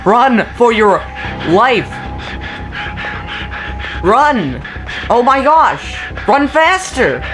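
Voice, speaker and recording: Jittery reaction host, casual and exclaimy, repeats stuff, loud music behind. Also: medium pitch, big pitch swings, extremely slow, long pauses. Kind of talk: casual excitable reaction commentary.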